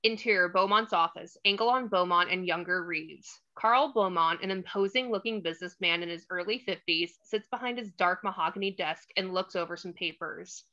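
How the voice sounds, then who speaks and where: A woman's voice: lowish voice, normal speed, hardly any pauses, pushed and bright, lo-fi sound. A woman reading screenplay directions aloud as scripted narration for a table read.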